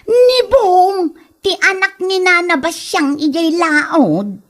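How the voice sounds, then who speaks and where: A woman's voice: very high-pitched, slow, fairly bright tone, full character voice. A woman playing a spiteful, judgmental village gossip in an Ilocano comedy drama, exclaiming indignantly with an Ilocano accent.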